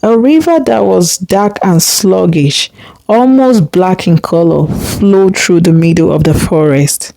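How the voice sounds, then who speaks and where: A woman narrating a folktale in a measured, deliberate storybook style. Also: fairly low pitch, gravelly, mellow, no echo, poor audio.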